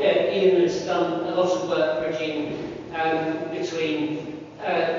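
A man chairing a panel discussion, introducing the next speaker in a halting way with many ums and uhs, in a large meeting room. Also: a little high, a little mellow, slight background noise. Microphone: far room camera. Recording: echoey hall, distant, dull.

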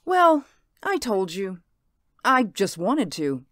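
A woman's voice: medium pitch, big pitch swings, slow pace, fairly bright tone, dry recording, clean studio sound. An actress playing an anxious housewife and mother in a radio drama. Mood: uneasy. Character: anxious housewife and mother hiding her unease.

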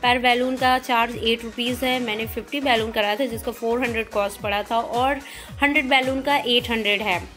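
A woman's voice: on the high side, fairly even intonation, a little fast, a bit bright, soft background music. A young woman reviewing a restaurant in a chatty voice-over, mixing English words into her Hindi. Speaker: food vlogger.

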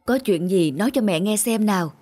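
A woman playing a worried, tender middle-aged mother in a cartoon, speaking gently and caringly. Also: mid-range voice, expressive, a little fast, lightly acted. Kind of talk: cartoon voice acting.

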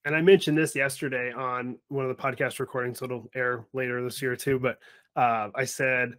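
A young man hosting a podcast over a video call, chatting casually and telling stories with lively acted-out voices. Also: medium pitch, moderate pace. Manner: animated storyteller, acts out voices in anecdotes. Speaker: podcast host. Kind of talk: casual interview chat. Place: home or office, video call.

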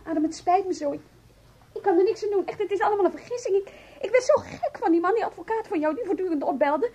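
A very high-voiced, quick-talking woman in a radio drama. She is a guilt-ridden estranged wife, flustered and pleading, repeating herself, with faint noise on the recording. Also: muffled recording. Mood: flustered, apologetic. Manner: halting, repetitive, emotional. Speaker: actor. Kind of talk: radio play acting in Dutch.

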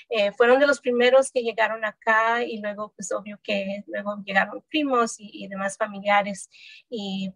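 Woman, 30s, born in El Salvador, video call, halting and thoughtful, dry sound. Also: a little high, no room sound. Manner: thoughtful, many hesitations and restarts. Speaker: researcher guest. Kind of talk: casual interview answers. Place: home room.

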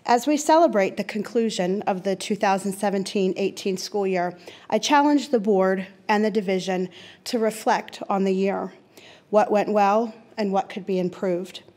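A middle-aged woman speaks at a mid pitch, reading her prepared comment with earnest, measured emphasis. She stresses each item in her lists. Her voice comes through a microphone in a large board meeting room. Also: darkish tone. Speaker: teacher giving public comment. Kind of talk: reading a prepared statement at a podium. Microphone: seated at a desk mic.

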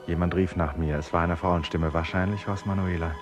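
An actor playing a brooding, resolute man confined in an asylum in a German radio play, speaking in a deliberate, introspective way. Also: deep voice, steady tone, over music, close to an everyday voice. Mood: resigned. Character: brooding, resolute male traveller confined in an asylum, torn by guilt. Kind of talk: 1950s-70s German radio play, monologue and dialogue.

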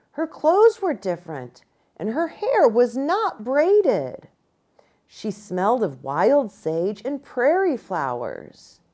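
A middle-aged American woman reading a storybook aloud to children in an expressive, deliberate way, pausing within phrases. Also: medium pitch. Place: small indoor room with bookshelf and armchair.

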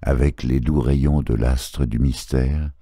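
Really deep dark male voice, slow solemn poetry reading, close dry sound. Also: fairly even intonation, breathy edge, no echo. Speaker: poetry reader. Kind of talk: solemn literary poetry recitation.

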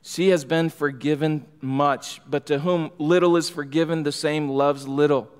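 Middle-aged man, pastor, emphatic pulpit preaching, slightly high voice, church hall reverb. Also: steady tone, unhurried, clean tone. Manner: emphatic, rising in fervor, gestures while preaching. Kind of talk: expository sermon. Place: church sanctuary, large room indoors. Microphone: pulpit microphone.

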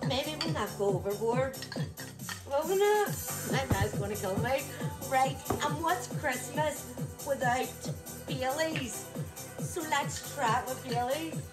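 A woman with a Northern Irish accent speaks high and unhurried, drawing out each remark as she makes a drink. Music plays clearly behind her in this played clip. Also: animated. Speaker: social media drink maker. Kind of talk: social media clip.

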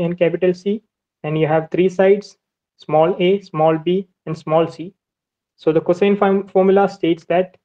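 A navigation teacher talks through a whiteboard lesson in a flat, even tone, going step by step and repeating each point. The recording is dull and lo-fi, like a muffled voice-over. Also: medium pitch. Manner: methodical, step-by-step explaining with much repetition.

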